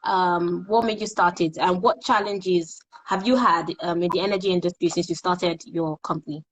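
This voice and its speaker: Breathy young woman interviewer. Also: on the low side, steady tone, normal speed, poor audio. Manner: friendly, agreeing, hesitant fillers. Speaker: interviewer, host. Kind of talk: online interview.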